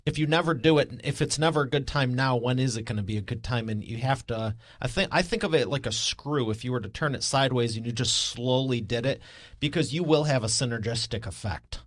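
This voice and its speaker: A man of average pitch hosts an interview in a conversational style. He works through his counterpoints haltingly, restarting as he goes. The recording is close and very dry. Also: no echo. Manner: halting, thinks aloud while challenging the guest. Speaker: podcast host.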